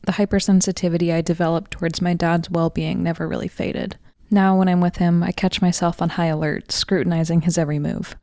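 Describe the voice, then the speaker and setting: A woman's voice: on the low side, creaky, warm tone, no echo, studio quality. A woman reading a scripted first-person personal essay as its writer.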